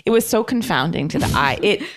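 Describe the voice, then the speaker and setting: A woman's voice: mid-range voice, sing-song, fairly quick. A woman co-hosting a podcast, recapping reality TV in a casual, emphatic way with exaggerated phrasing. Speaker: podcast co-host.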